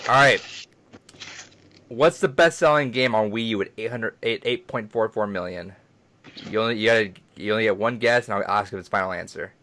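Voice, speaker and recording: Young man, deeper voice, jokey quizmaster on a casual podcast. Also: fairly quick, pushed and bright, slight background noise. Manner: teasing, joking. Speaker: podcast co-host, trivia quizmaster. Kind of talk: casual gaming podcast banter.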